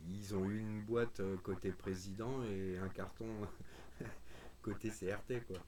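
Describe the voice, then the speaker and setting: Male, low-pitched, casual chat, blunt informal slang, faint background noise. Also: steady tone, slow pace, darkish tone. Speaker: radio hobbyist chatting on a group call stream.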